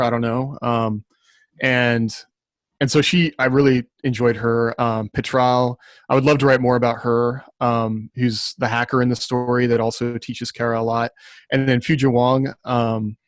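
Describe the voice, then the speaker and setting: A man's voice: medium pitch, no room sound, clean studio sound. A man, an author on a podcast panel, talking casually and thinking aloud in a rambling way. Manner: rambling, thinking aloud, frequent "like" and "you know". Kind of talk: casual discussion.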